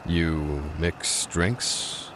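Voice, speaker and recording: A courtly vampire for a video game, with an extremely deep voice. He is reserved and formal, choosing measured words. Noise or music sits behind him. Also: steady tone. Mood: polite, reserved. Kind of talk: scripted game dialogue.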